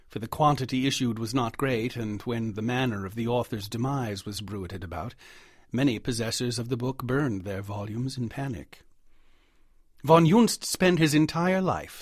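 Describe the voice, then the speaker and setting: Man gravely narrating horror, very dry sound. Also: medium pitch, no room sound. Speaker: audiobook narrator. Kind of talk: dramatic scripted horror story narration.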